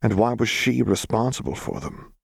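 A man reading fiction aloud as an audiobook narrator, with expressive scripted delivery. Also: medium pitch, moderate pace, gravelly, husky, no echo, studio quality.

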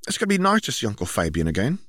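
A man's voice: lowish voice, wildly swooping pitch, very fast, a bit bright, no echo, studio quality, voice pushed up, exaggerated voice. A man voicing a spirited, blunt teenage girl, a cheeky younger sister, in an audiobook story. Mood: bright, insistent. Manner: blunt, interrupting.

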